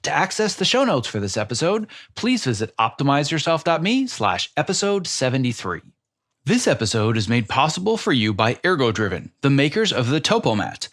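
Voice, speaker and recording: A man's voice: mid-range voice, big pitch swings, brisk, a bit bright, no room sound, studio quality. Enthusiastic man hosting a podcast. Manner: enthusiastic, chatty, with fillers. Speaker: podcast host. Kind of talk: podcast interview and sponsor read.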